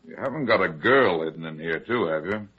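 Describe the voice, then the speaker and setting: An actor playing a hard-boiled, heavyset private detective, terse and deadpan, in old-time radio style. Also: male voice, sits low, brisk, slightly rough. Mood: wry, curt. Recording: dull, lo-fi old radio drama recording.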